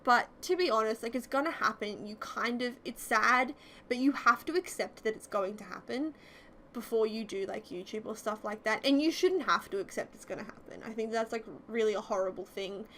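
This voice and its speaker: A woman's voice: fairly high pitch, brisk, bright, forceful tone, slight background noise. A young woman with an Australian accent chatting casually and rambling through fillers as a YouTuber narrating a game build. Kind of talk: casual chat over a game speed build.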